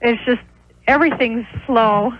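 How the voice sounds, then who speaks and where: A woman's voice: a little high, unhurried, slight background noise. An American woman calling in to a radio show by phone, explaining things in a hesitant, rambling way. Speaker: caller, small restaurant owner. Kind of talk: unscripted phone-in conversation. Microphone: telephone. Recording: dull lo-fi radio phone call.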